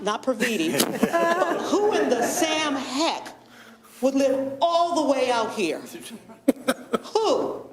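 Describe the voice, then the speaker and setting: Loud, lively woman presenting. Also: fairly high pitch. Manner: lively, laughing storyteller. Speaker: board member presenting. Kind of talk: public meeting presentation. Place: township meeting room.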